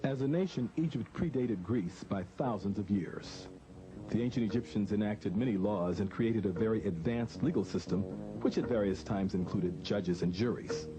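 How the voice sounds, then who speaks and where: An American man, a host with a measured, formal way of narrating a scripted documentary and interviewing. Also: on the low side, music in the background, rough recording.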